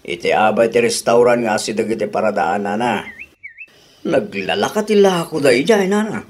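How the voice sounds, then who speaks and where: A man's voice: medium pitch, animated, moderate pace, slight background noise, lightly acted. A man voicing a thrifty husband in a radio drama, chuckling and defensive about his bargains.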